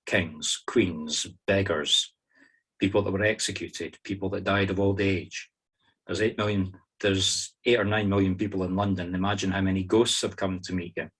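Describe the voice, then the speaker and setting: A man's voice: low voice, level tone, normal speed, fairly bright tone, husky. A middle-aged man with a Scottish accent leads a guided meditation, giving informal step-by-step instructions with wry asides. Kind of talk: spoken guided meditation to a group.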